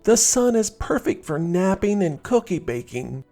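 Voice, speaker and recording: A man's voice: sits high, very animated, unhurried, warm tone, faint music behind, pitched above the performer's own voice, exaggerated voice. A man voicing a sleepy, good-natured young male dragon in a children's storybook, boyish and a little whiny. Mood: whiny, drowsy.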